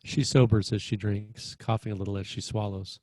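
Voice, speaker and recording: A man's voice: fairly low pitch, level tone, brisk, dark tone, dry recording. A man narrating scripted screenplay action as the stage-direction reader at a table read.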